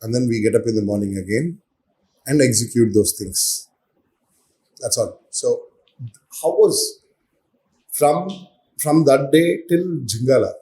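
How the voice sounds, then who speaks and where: A man's voice: medium pitch, unhurried, pauses often, warm tone, low-quality recording. A man, asking questions in a chatty, easygoing way as an interviewer. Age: 40s. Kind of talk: casual interview.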